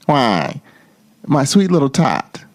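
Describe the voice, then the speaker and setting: A sly, grumpy storybook monster voiced by a man, gravelly and smug. He draws out his words with mock sweetness and swings his pitch as he schemes. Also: wildly swooping pitch, slow, no room sound, full character voice. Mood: falsely sweet.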